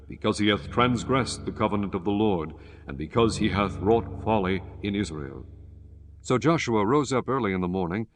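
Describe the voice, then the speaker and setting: A man reading scripture as the narrator of a dramatized audiobook, in a solemn, measured manner. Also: fairly low pitch. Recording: music behind the voice.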